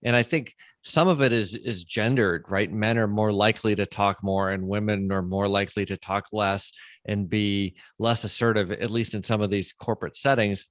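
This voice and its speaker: A man's voice: fairly low pitch, steady tone, normal speed, no echo. Man hosting a podcast, dry close sound. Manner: talkative, thinking aloud. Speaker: podcast host. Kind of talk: conversational interviewing.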